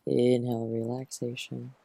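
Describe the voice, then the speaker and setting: A man who teaches yoga nidra, gently guiding a relaxation meditation in a soothing, unhurried manner, close to the mic. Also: mid-range voice, level tone, creaky, dry recording.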